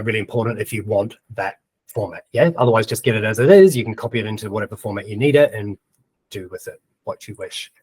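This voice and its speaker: A man's voice: medium pitch, slightly rough, no echo, poor audio. A man with a New Zealand accent giving a casual screen-share tutorial, chatting and thinking aloud as he types, with plenty of ums. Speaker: presenter of a webinar.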